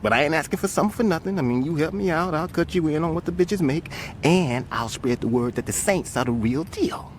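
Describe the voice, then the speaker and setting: An actor playing a slick, chatty small-time American pimp, hustling in familiar slang for a video game cutscene. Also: male voice, on the high side, expressive, brisk, background noise, close to an everyday voice. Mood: chummy, hustling.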